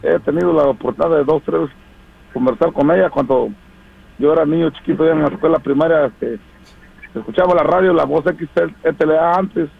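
A man's voice: fairly high pitch, brisk, vocal fry, slightly rough, dull audio. A man calling in to a radio show, sending greetings to family in a rambling way with many fillers. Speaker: caller.

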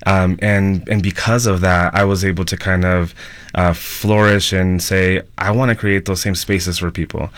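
A man with a deep voice tells a personal story as a panel guest. His delivery is halting and thoughtful with very flat intonation, recorded dry. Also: dry recording.

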